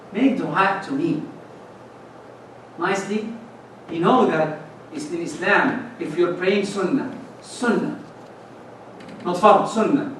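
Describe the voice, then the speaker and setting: A man giving a sermon in a mosque, moving between loud exhortation and soft pleading. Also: high-pitched, slight background noise. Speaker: imam giving a Friday sermon. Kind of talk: sermon to a congregation. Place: mosque prayer hall. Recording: echoey hall, mic far off.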